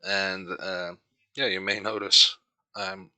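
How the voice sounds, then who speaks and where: A man with a Dutch accent, a native Dutch speaker, giving casual let's play commentary and reading game text aloud, halting with many hesitations. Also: low-pitched, level tone, bright, forceful tone, smooth, no room sound.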